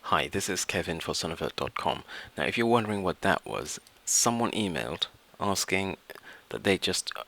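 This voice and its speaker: Male tutorial presenter, low pitch with a crisp bright edge, informal and explanatory. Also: big pitch swings, normal speed, hardly any pauses. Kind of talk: informal software tutorial narration.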